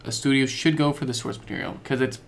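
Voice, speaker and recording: Gravelly young man, chatty. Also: mid-range voice, lively intonation, fast. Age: young adult. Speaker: podcast co-host. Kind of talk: casual chat about films and games.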